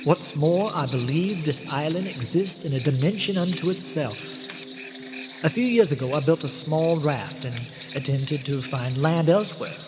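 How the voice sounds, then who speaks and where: An actor playing an earnest, eccentric castaway scientist in a radio drama, speaking formally with hesitant stammers. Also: male voice, a little high. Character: eccentric, long-stranded scientist explorer, earnest and courtly. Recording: noisy radio drama, long cavernous reverb.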